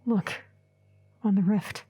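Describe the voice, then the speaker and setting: A woman's voice: medium pitch, fairly even intonation, slow pace, dark tone, husky. A woman playing a withdrawn, irritable, curt character who says very little, in an audio drama. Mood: intent. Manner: terse, curt. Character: terse, withdrawn, irritable woman watching monitors. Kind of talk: naturalistic audio drama dialogue.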